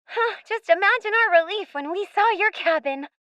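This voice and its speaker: Radio play young girl: very high-pitched, bright and sharp, earnest, trusting castaway. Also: lively intonation, moderate pace, no echo, full character voice.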